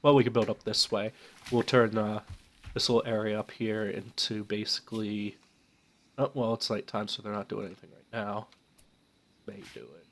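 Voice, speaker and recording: A man's voice: lowish voice, flat delivery, moderate pace, a bit bright. A young man with a stuffed-up, nasal voice from a cold, casually commenting on a game while thinking aloud with many fillers. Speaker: let's play host.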